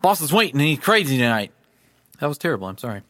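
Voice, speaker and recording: A man's voice: medium pitch, very animated, fast, sharp tone, no room sound, studio quality. A man recording voice lines who keeps flubbing and criticizing his own takes. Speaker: voice actor. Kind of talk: recording session outtakes.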